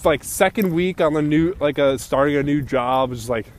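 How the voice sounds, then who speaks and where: A man's voice: a little high, moderate pace, slight background noise. A young man co-hosting a podcast, telling stories in casual chat, acting out quotes and laughing often. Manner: animated storytelling, laughing, acts out quotes. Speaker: podcast co-host.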